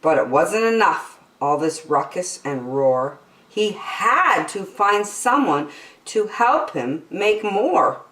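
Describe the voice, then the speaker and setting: Slow, expressive woman storyteller. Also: fairly low pitch. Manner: deliberate, rhythmic. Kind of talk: expressive read-aloud of children's verse. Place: indoors, reading over a picture book. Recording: roomy echo, distant mic.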